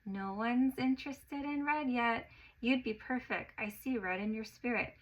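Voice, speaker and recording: A woman's voice: fairly high pitch, unhurried, poor audio. A woman voicing a confident female art studio owner who talks directly and persuasively.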